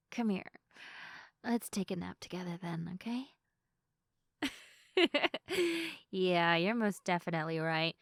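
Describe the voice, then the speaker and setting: A woman playing a sleepy, affectionate girlfriend in an intimate ASMR roleplay, chatting softly. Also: lowish voice, no room sound, clean studio sound. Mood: tired, tender, then playful, sleepy.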